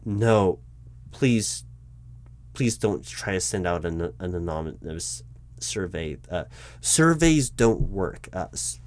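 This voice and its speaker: A man playing a frank, exasperated corporate recruiting manager in a one-sided audio roleplay, explaining things haltingly and correcting himself as he goes. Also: deep voice, lively intonation, darkish tone. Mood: flustered, objecting.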